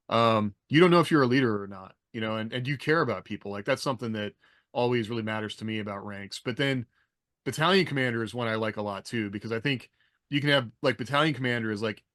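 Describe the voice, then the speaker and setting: A man's voice: medium pitch, no echo, studio quality. A man talking casually on a podcast panel as a military veteran who writes.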